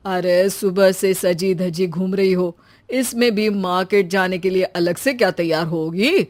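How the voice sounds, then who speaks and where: A woman's voice: fairly low pitch, a little slow, a little mellow, close to an everyday voice. A woman voicing a teasing, fed-up adult man in a family story, with a sarcastic manner.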